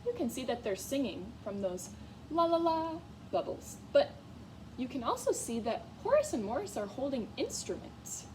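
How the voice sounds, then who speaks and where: A woman teacher giving a read-aloud lesson to young children in an expressive, encouraging way, often putting questions to her listeners. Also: high-pitched, normal speed, a little hiss or hum, poor audio. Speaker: music teacher. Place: school classroom, in a reading corner.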